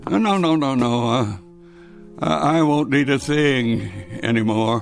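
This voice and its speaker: An actor playing an anxious, stubborn grandfather in his seventies in a radio drama, with a halting, stammering delivery. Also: mid-range voice, creaky, soft background music. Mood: resigned. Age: 70s. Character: frail, anxious, stubborn 76-year-old grandfather. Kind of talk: old-time radio drama acting.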